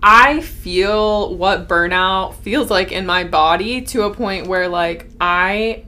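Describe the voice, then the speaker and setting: Bright, affirming woman podcast co-host. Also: mid-range voice. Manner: affirming, quick backchannels, swears casually. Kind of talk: casual chat.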